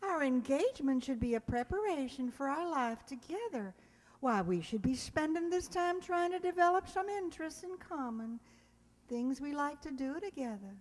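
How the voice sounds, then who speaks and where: Actress as prim coquettish fiancée, high swooping voice, bossy, fluttery, old-time radio comedy. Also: female voice, expressive, normal speed, a little mellow, long reverb tail. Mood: gently scolding. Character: genteel, bossy, coquettish fiancée lecturing her sweetheart. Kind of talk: 1940s radio comedy acting.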